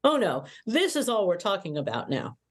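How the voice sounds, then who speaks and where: A brash young female officer, played by a woman reader with a mid-range, very animated voice. She is blunt and teasing, suited to a cartoon space comedy. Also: mid-range voice, normal speed, close to an everyday voice. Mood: amused. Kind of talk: fan table read of an animated sci-fi comedy script.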